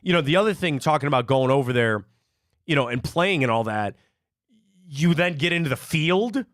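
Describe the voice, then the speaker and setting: A sports talk host from Cleveland with a high voice that swoops all over as he tells stories and repeats his points. The sound is dry and close, with little room around it. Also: moderate pace, no echo. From Cleveland, Ohio. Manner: animated storyteller, repeats himself. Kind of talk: live sports talk show.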